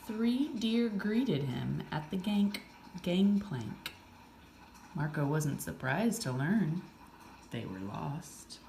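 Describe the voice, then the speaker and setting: A woman's voice: lowish voice, big pitch swings, slow pace, warm tone. A woman reading a picture book aloud expressively, with chatty asides to her listeners. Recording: roomy echo, faint background noise.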